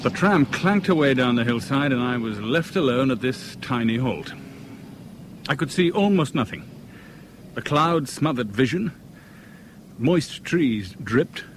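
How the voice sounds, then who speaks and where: Animated, sardonic thriller hero, played by a man. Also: mid-range voice, natural voice. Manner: sardonic, vivid, expressive. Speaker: actor and narrator in a radio drama. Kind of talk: 1970s radio drama, narration and dialogue. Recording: old radio drama recording, faint noise.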